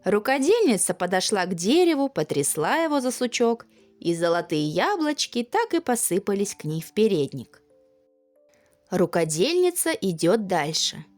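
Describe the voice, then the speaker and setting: A woman storyteller narrating a children's fairy tale in an expressive, folksy manner. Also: medium pitch, moderate pace, soft background music.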